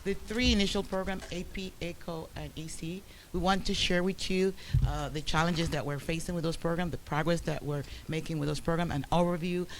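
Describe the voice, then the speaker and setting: Man, fairly high voice, school board presentation, halting with fillers, meeting room, noisy recording. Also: steady tone. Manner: many fillers and restarts. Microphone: room microphone, quiet for listeners.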